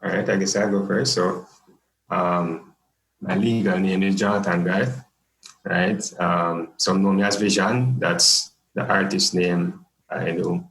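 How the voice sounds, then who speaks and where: A man's voice: deep voice, fairly even intonation, a little slow, vocal fry, a bit bright, echoey room, low-quality recording. A young man with a Jamaican accent, a podcast guest talking casually and jokingly with many fillers. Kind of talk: casual interview chat.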